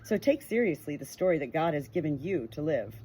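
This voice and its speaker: A woman's voice: low voice, big pitch swings, brisk, dark tone, a little hiss or hum. A woman reading a book aloud in an earnest, emphatic way, now and then breaking off into chatty personal asides. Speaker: audiobook reader.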